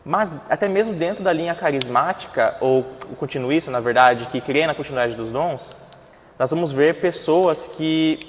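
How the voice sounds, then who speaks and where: A man's voice: fairly high pitch, moderate pace, a little hiss or hum. A man with a Brazilian Portuguese accent teaching a Sunday school Bible class in a conversational way, with frequent fillers and restarts. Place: church hall. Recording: church hall with long reverb.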